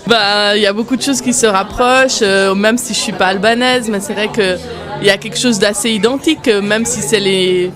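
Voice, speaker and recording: A woman at an event, chatting in a relaxed way with loose fillers. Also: mid-range voice, moderate pace, music in the background, crowd noise. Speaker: event guest. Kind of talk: casual chat.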